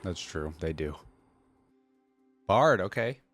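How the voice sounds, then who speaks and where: Male reaction host, lowish voice, expressive intonation, casual off-the-cuff remarks, musing aloud. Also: takes it slowly. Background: faint music.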